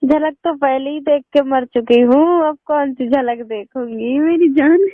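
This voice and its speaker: A woman's voice: high voice, a little slow, dry recording. A woman in an emotional phone conversation, insistent, defiant and pleading. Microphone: telephone. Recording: muffled lo-fi phone call recording.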